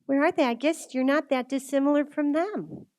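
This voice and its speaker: Woman, fairly high voice, informal faith speaker; earnest, conversational delivery that puts questions straight to the listener. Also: normal speed, a little mellow. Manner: earnest, conversational, direct address with rhetorical questions. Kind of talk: informal religious talk to a group.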